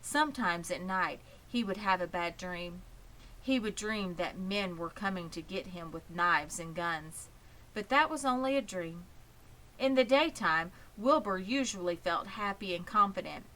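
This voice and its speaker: A woman narrating a children's story in an expressive, measured way. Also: fairly low pitch, slight background noise, low-quality recording. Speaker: audiobook reader.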